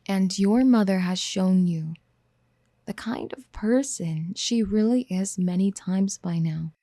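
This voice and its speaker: Soft, slow, creaky-voiced possessive yandere played by a woman. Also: mid-range voice, no room sound, studio quality. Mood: soothing, persuasive. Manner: gentle, coaxing, intimate, often dropping to whispers. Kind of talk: soft-spoken ASMR roleplay. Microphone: close studio mic.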